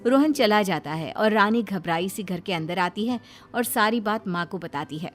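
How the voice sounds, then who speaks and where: A woman's voice: medium pitch, lively intonation, moderate pace, faint music behind. Woman narrating a cartoon moral story.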